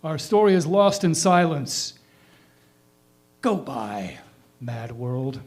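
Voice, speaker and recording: Man as a higher-voiced, grandiloquent dead townsman. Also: big pitch swings, a little mellow. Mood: solemn, forlorn. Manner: declamatory, measured, grandiloquent. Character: proud small-town dead man delivering a solemn epitaph. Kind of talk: dramatic monologue, staged poetry reading.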